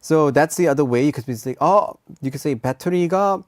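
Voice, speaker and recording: A man's voice: fairly high pitch, no room sound, clean studio sound. A man hosting a casual radio show and teaching expressions, laughing often. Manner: fast, animated, switching between English and Korean, laughs often. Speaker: radio DJ and Korean language teacher. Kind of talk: casual radio chat with language lesson.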